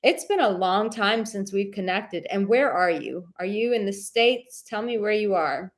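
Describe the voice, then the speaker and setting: A woman hosting a live dating advice stream, reading viewer comments in a warm, affectionate and chatty way, with a soothing voice and many filler words. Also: medium pitch, measured pace. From Czech heritage.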